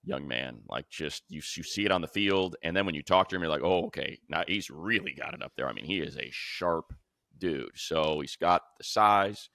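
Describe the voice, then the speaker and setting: A man's voice: extremely low pitch, lively intonation, moderate pace, vocal fry, bright, forceful tone, no room sound, studio quality. An American man giving casual, emphatic football analysis as a show host, repeating phrases for stress.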